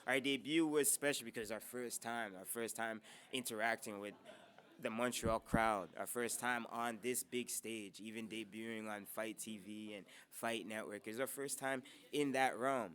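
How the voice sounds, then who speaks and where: A man's voice: on the low side, steady tone, normal speed, fairly bright tone. A man, a wrestler, speaking enthusiastically and laughing often in a casual interview.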